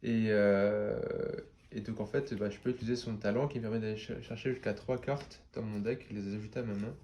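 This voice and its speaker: A man's voice: fairly low pitch, fairly even intonation, fairly quick, warm tone. A man with a stuffy head cold, casually explaining his moves in a card game match with many fillers. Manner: chatty, explains each move with many fillers. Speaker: card game player and channel host.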